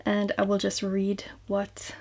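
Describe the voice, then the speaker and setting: A woman's voice: medium pitch, monotone, takes it slowly, vocal fry. Young woman, makeup vlogger at home, friendly and upbeat, mid-range voice. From Norway. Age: young adult. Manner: chatty and upbeat, drops to soft near-whisper at times. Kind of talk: beauty vlog, talking to camera. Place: small room indoors, home. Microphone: seated at desk facing camera.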